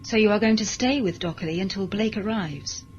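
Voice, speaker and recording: A woman's voice: medium pitch, brisk, darkish tone, a little hiss or hum, lightly acted. A woman playing an emotionless, modified cyborg servant in a science fiction audio drama, speaking in a formal, precise, deferential way. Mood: dutiful, businesslike. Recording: dull lo-fi audio drama recording, music behind.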